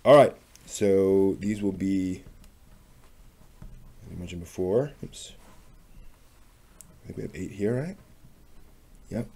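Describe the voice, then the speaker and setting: A man with a fairly dark, somewhat husky voice hosts a casual craft session, thinking aloud as he works. He speaks very slowly, in short muttered phrases, as if mostly to himself. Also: sits low. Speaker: maker hosting a craft workshop video.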